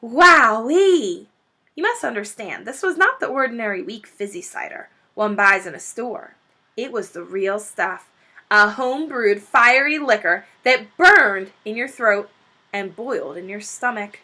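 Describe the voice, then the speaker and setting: A woman reading a children's book aloud as an expressive storyteller. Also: mid-range voice, moderate pace. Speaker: story reader.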